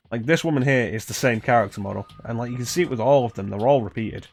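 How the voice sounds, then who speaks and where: A man's voice: medium pitch, quick pace. A man giving casual, joking live commentary as he streams a video game, with some swearing. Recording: music behind the voice. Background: video game music.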